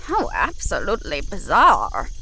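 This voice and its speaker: A woman's voice: mid-range voice, huge pitch swings, measured pace, bright, forceful tone, slightly rough, exaggerated voice. A woman playing a dry, haughty, eccentric witch in an audio drama, with arch wit and old-fashioned diction. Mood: puzzled, arch. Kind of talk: horror comedy audio drama.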